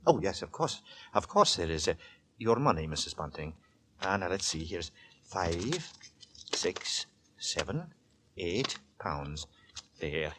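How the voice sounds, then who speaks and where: An actor playing a quiet, fanatically pious gentleman lodger in a 1940s radio horror drama, formal and courteous but feverish with scripture. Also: low-pitched, sing-song, slow pace, long pauses, slight background noise, full character voice. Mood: guarded, polite. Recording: vintage 1940s radio, music behind.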